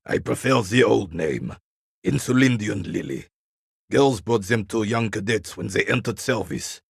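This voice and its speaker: A proud old war veteran from a video game, with a heavily creaking, husky voice that swings widely in pitch. He speaks like a formal old soldier, haughty and embittered, grumbling at those beneath him. The sound is dry and close. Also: animated, a little slow, no room sound, heavily acted. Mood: bitter, nostalgic. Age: older. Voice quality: gravelly, raspy, husky. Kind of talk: dramatic video game character acting.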